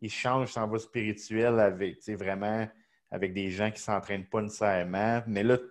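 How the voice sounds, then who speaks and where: A man's voice: fairly low pitch, steady tone, moderate pace. Eager man hosting a podcast. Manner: eager, chatty, lots of fillers. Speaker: podcast host. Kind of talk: casual podcast conversation.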